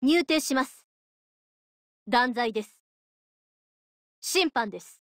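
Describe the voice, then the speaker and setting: A stern yet caring lady judge of the afterlife in a game. Her voice is fairly high and she speaks slowly and formally, like someone delivering a lecture. The recording is close and dry. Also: lively intonation, a bit bright, no room sound, full character voice. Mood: stern, judging. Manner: formal, polite, measured, preachy. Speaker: voice actress. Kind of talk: Japanese mobile game character voice lines.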